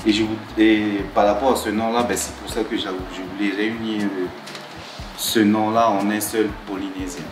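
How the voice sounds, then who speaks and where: A tattoo artist from Tahiti, talking about his work in a halting way, with many restarts and fillers. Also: lowish voice, level tone, roomy sound, over music, low-quality recording. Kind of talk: talking-head interview.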